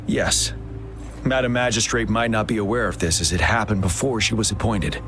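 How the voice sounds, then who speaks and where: An actor voicing a stern, dutiful young male general who speaks in a measured, earnest and formal way in a game cutscene. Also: fairly low pitch, fairly even intonation, lightly acted. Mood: earnest, grave. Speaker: general leading the defence. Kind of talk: voiced video game cutscene. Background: cinematic music.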